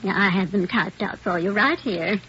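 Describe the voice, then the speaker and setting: An actress playing a precise, officious warehouse auditor in a 1950s radio drama, speaking in a formal, orderly way. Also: female voice, medium pitch, moderate pace, a bit bright, close to an everyday voice. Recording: muffled, faintly noisy old radio recording.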